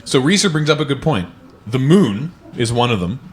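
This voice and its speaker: A man's voice: medium pitch, big pitch swings, normal speed. A man hosting, reading children's books aloud and chatting casually about them. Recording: music behind the voice. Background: background music.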